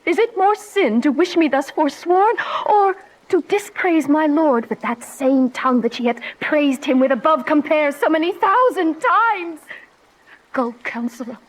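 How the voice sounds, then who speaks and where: A woman's voice: high-pitched, expressive, unhurried, low-quality recording. An actress playing a passionate, desperate young noblewoman in a Shakespearean radio drama, delivering verse formally and dramatically. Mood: bitter, resolute.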